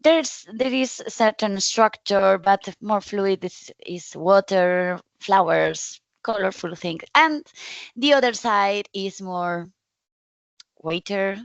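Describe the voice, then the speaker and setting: A woman from Spain with a Spanish accent, giving a conference presentation in friendly, halting non-native English with frequent restarts. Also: mid-range voice, lively intonation, no room sound, muffled recording. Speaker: conference speaker.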